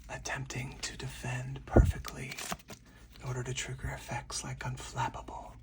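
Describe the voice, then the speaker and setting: Someone speaking in a breathy, deliberate, hushed whisper, in the style of an ASMR game playthrough with commentary. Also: medium pitch, completely flat delivery, distant mic, low-quality recording. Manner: slow, deliberate, hushed delivery. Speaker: solo card game player and host.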